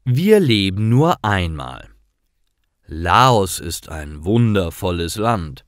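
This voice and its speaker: A man narrating scripted stories for language learners, reading simple sentences clearly. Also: deep voice, big pitch swings, takes it slowly, no room sound, studio quality.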